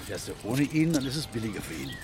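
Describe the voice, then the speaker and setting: Actor as a male bar owner, mid-pitched, sceptical, concerned, terse, radio drama, echoey noisy room. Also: big pitch swings, fast, close to an everyday voice. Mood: probing. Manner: probing, terse. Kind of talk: naturalistic radio drama dialogue. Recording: echoey, distant, noisy location sound.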